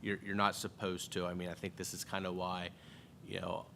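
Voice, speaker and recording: Very deep-voiced man on a board. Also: completely flat delivery, a little fast, creaky, pushed and bright, a little hiss or hum. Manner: explanatory, plainspoken, with restarts. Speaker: school board member. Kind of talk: meeting discussion.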